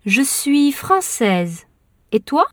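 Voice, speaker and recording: A woman voicing a cheerful young female musician in a slow language-course dialogue. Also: high voice, very animated, dry recording, clean studio sound, exaggerated voice. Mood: friendly. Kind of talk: slow scripted textbook dialogue.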